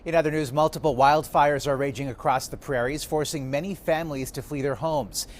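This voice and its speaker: A man's voice: a little high, fairly even intonation, fairly quick, slight background noise. A man reading scripted national news as the anchor.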